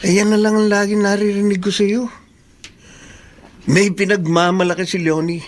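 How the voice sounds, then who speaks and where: Man acting candid Filipino buddy, fairly high male voice, probing, persuasive, radio drama. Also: level tone, moderate pace, close to an everyday voice. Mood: frank. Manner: blunt but careful, questioning. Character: frank, concerned male best friend, probing and persuasive.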